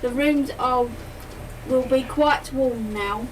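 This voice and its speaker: A woman's voice: high-pitched, slow, a little hiss or hum. A woman acting a courteous, hospitable guesthouse keeper in an amateur radio play. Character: welcoming, considerate bed-and-breakfast keeper. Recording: distant community radio studio.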